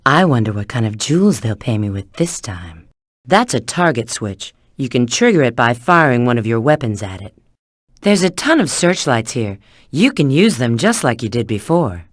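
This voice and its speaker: A woman's voice: rumbling low, big pitch swings, moderate pace, dry recording, studio quality, heavily acted. A woman voicing a confident, flirty female bat spy and treasure hunter in a video game, with a cool, knowing, instructive manner. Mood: urgent, alert. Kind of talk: video game character voice acting.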